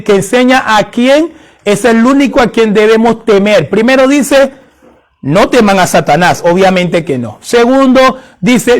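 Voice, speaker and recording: A man from Haiti preaching a sermon, fervent and building to emphatic prayer, repeating phrases for emphasis. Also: sits high.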